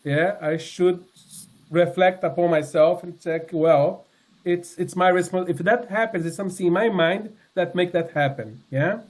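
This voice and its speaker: A man in his sixties giving a personal testimony to a congregation, speaking earnestly and haltingly, with many fillers and restarts. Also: high voice. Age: 60s. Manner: earnest, sincere. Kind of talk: personal testimony talk with slides.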